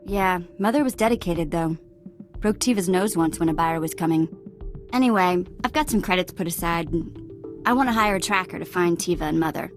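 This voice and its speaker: An actress plays a plucky young female companion in a video game. Her lowish voice is informal and frank, with faint music underneath. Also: fairly quick, natural voice. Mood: wistful, frank. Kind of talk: scripted video game dialogue acting.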